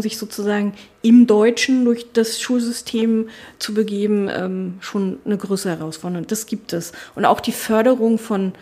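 A middle-aged woman speaks in an interview in a voice of average pitch for a woman. She talks as a politician, thoughtfully and haltingly, with many fillers and restarts as she searches for her words. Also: darkish tone. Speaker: politician, spokesperson for family and education. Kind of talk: casual interview talk. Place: her constituency office.

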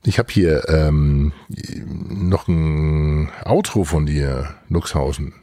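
A man's voice: extremely low pitch, takes it slowly, warm tone, dry recording, studio quality. A man co-hosting a podcast in casual banter, colloquial and playful, dropping English buzzwords into his talk. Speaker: podcast co-host and reviewer.

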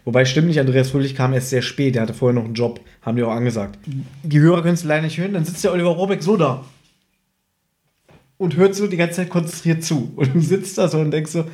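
A man hosts a fan podcast from home with a slightly high voice. His delivery is casual and humorous, like relaxed talk with a friend over a shared microphone. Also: quick pace. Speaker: podcast host and audio drama fan. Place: living room at home. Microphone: one microphone shared with co-host.

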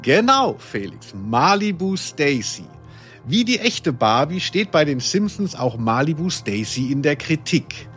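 Animated man hosting a playful podcast quiz. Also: fairly high pitch, a little slow, soft background music. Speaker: podcast co-host, quizmaster.

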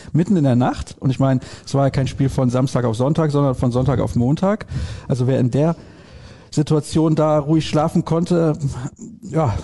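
A man from Solingen hosting a football podcast, talking in an opinionated, animated way with rhetorical questions. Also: mid-range voice, gravelly, husky. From Solingen, Germany. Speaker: podcast host. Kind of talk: casual football podcast chat.

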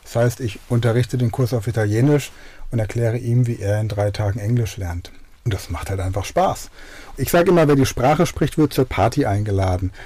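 A middle-aged man from Germany talks eagerly about his work, sliding easily into anecdotes. His voice is dark and mellow at a medium pitch. He sounds relaxed and at ease. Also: brisk. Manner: enthusiastic, anecdotal. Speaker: podcast host and learning coach. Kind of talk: podcast intro and radio interview.

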